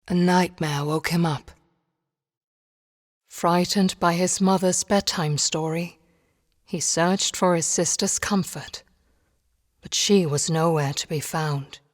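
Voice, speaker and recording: A woman narrating a dark fairy tale for a video game in a measured, solemn storytelling manner. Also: deep voice. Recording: long reverberant hall-like echo.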